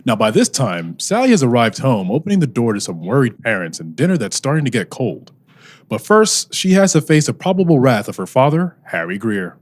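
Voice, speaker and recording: A man's voice: mid-range voice, sing-song, brisk. A man narrating like an old-time radio serial announcer, theatrical and building suspense. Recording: music under the voice.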